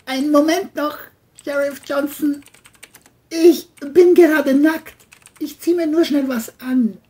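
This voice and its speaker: Woman acting a male killer, far higher than plain speech, falsely courteous, evasive, crime audio drama. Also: takes it slowly, mellow. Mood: falsely cheerful. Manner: mock-polite, evasive. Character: polite, brazenly lying male killer, high-voiced.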